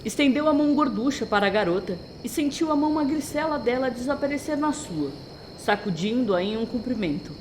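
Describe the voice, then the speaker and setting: A woman's voice: fairly high pitch, expressive, brisk, a little hiss or hum. A woman narrating a scripted audio drama as its storyteller.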